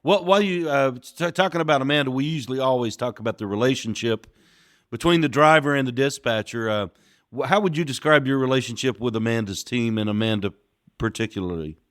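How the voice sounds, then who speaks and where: Male podcast host, steady and easygoing, dry studio sound. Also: mid-range voice, brisk, no room sound. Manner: affable, steady, with filler "uh"s. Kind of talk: interview podcast hosting.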